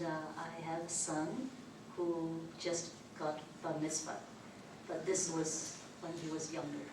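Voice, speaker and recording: Chinese-native woman reading poems slowly. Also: on the low side. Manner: slow, careful recitation. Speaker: open mic poet. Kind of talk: poetry reading. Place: public library room. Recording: distant mic, echoey room, background noise.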